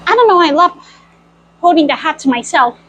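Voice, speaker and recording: A woman with an extremely high, lively voice tells a story and chats in character. She plays at being a cartoon mouse girl, with a childlike, playful manner and animated swings in pitch. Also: fairly quick, slight background noise. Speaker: storyteller in costume. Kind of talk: in-character storytime reading and chat.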